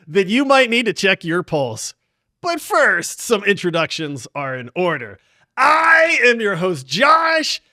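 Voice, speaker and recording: A man hosting a casual gaming podcast with excitable, hyped-up banter. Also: extremely high pitch, no echo, studio quality. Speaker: podcast host.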